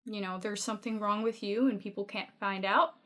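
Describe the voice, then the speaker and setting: A woman's voice: medium pitch, fairly even intonation, a little fast, sharp tone. Danish woman in her twenties, candid. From Denmark. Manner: candid and open, laughs easily. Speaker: podcast guest. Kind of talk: casual chat.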